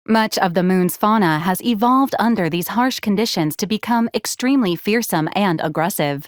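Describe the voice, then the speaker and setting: A woman acts out a female robot ship's assistant for a video game, dutiful and literal to a fault. She delivers formal, clipped announcements in a cool deadpan, at a medium pitch, in a very dry recording. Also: dry recording, natural voice. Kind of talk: scripted video game voice acting.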